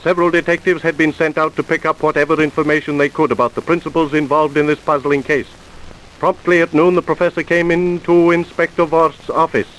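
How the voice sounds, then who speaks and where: A man narrating an old radio drama in a smooth announcer style. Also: on the high side, steady tone, brisk, fairly bright tone, poor audio. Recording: hissy old radio recording.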